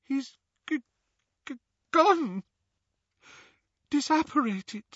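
An earnest teenage witch girl pleads in a raised, tearful, bright-edged voice, as a man reading the storybook puts her on. The husky tone stays under the lift, and the recording sounds a little dull. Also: high-pitched, sing-song, a little slow, dry recording, pitched above the performer's own voice, heavily acted. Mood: sobbing.

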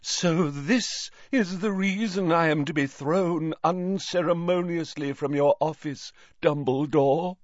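Man playing a high-pitched, theatrical wounded woman seer. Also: slow pace, hardly any pauses, no room sound, muffled recording. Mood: indignant. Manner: theatrical, indignant declaiming. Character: dramatic, wounded older woman seer, haughty professor. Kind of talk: audiobook character voice.

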